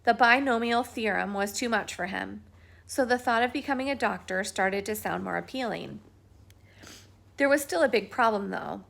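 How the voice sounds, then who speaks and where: A woman reading a history book aloud at home, at an unhurried pace and with small restarts. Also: medium pitch, vocal fry, fairly bright tone. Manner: firm, scolding talk to a pet between passages. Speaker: reader of a book.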